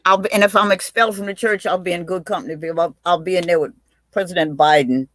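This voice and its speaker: A woman's voice: fairly low pitch, steady tone, quick pace, a bit bright, no echo. A woman, a witty, blunt guest telling stories in a casual video chat, often restarting her sentences. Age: 80s. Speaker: guest, aunt.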